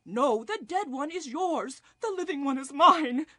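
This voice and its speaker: High-voiced, pleading young mother. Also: lively intonation, measured pace, bright, forceful tone, dry recording, full character voice. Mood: insistent. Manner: pleading, emotional, earnest. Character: distressed young mother pleading before a king. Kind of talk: dramatized scripture reading.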